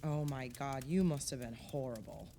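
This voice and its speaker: An actress playing a frank, expressive friend in a group conversation in a naturalistic audio play. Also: female voice, very deep voice, normal speed, a little hiss or hum. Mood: curious, teasing.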